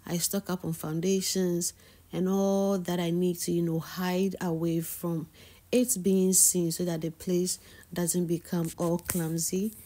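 A woman's voice: on the low side, dark tone. A woman giving a casual, enthusiastic tour of her home salon, talking with lots of "you know" fillers while walking the camera around a small indoor room. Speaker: wig maker and hairstylist giving a salon tour.

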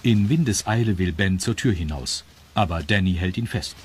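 A man with a husky voice narrating a scripted children's radio story. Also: low voice, moderate pace, darkish tone, a little hiss or hum.

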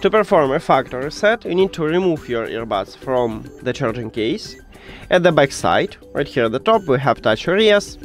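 A male tutorial host walks through instructions step by step in non-native English phrasing. His mid-pitched voice moves up and down in a lively way, with some music behind it. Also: normal speed.

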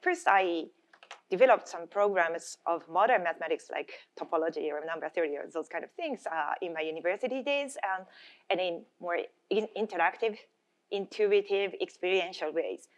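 A middle-aged Japanese woman speaks at a conference lectern in a slightly low voice. Her English carries a Japanese accent and comes haltingly, with restarts as she searches for the word. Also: lively intonation. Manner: halting, searching for words in a second language. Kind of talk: conference presentation. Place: indoor conference room, at a lectern. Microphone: gooseneck lectern microphone.

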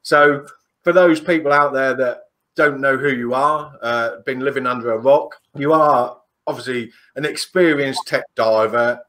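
A man's voice: mid-range voice, a little slow, bright, forceful tone. A man hosting a podcast on a video call, giving a joking, friendly introduction to his guest. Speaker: podcast host. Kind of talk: casual interview.

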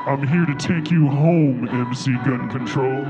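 A man's voice: mid-range voice, unhurried, lo-fi sound, natural voice. A man playing a stern, boastful rapper father in a live comedy bit. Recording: live stage with loud music beat.

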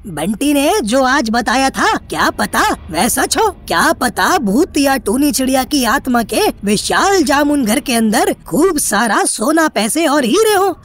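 A greedy cartoon parrot played by a woman, high-pitched and excitable. His exclamations swing widely in pitch with dramatic flair. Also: takes it slowly, hardly any pauses, full character voice. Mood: greedy, scheming. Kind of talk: animated moral tale acting.

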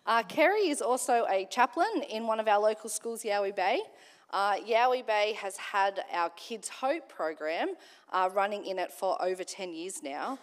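A woman, a children's minister whose voice is slightly croaky, interviewing in an upbeat way on stage. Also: mid-range voice, expressive, moderate pace. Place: church sanctuary. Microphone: handheld mic. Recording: long church hall reverb.

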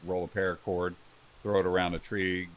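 Deep-voiced laid-back podcast host. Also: male voice, little pitch movement, fairly quick, a little hiss or hum, rough recording. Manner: affirming, laid-back, leads the talk. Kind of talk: casual podcast chat.

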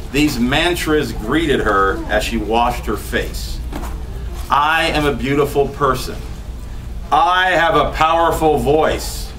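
A man in his fifties giving a poetry reading with chatty, laughing asides in a small bookstore room. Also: on the high side. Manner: animated, gesturing, laughs between lines. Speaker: poet. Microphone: far camera, no mic. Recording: voice from a distance.

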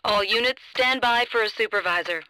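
A woman playing a brisk, composed police dispatcher in a video game, speaking in clipped procedural phrases over the radio. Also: fairly high pitch, pushed and bright, clean tone, no room sound. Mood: businesslike. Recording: noisy police radio, clicks.

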